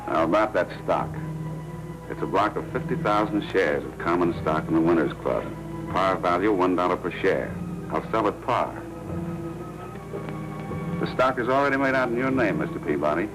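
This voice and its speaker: An actor playing a smug American club racketeer in a 1940s crime film, with suave, formal, measured speech. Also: male voice, low-pitched, animated, poor audio. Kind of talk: 1940s film noir acting. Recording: old film soundtrack, loud music.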